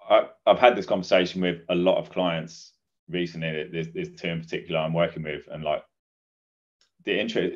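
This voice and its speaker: A middle-aged man answering as a podcast guest, explaining things in a measured, conversational way. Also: low voice. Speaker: guest, recruitment business advisor. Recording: dull, muffled lo-fi audio.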